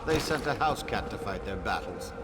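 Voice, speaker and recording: An actor playing a cruel, sneering enemy general in a video game, taunting with drawn-out contempt. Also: male voice, on the low side, expressive, very noisy, natural voice. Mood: contemptuous, gloating. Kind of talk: video game villain dialogue.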